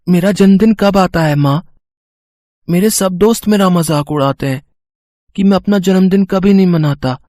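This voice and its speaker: A man acts out a downcast young son from a moral story. He sounds plaintive and fairly high-pitched. The sound is close and dry. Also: moderate pace, a little mellow, no room sound, natural voice. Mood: hurt, pleading. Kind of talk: dramatised Hindi moral story acting.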